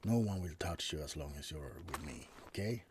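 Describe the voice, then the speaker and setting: A man's voice: a bass voice, expressive, moderate pace, background noise. A man playing a laid-back, wry, centuries-old vampire roommate in an intimate, rambling ASMR roleplay. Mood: friendly, persuasive. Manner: hesitant, rambling, intimate, drifting into whispers. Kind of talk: ASMR roleplay monologue addressed to the listener.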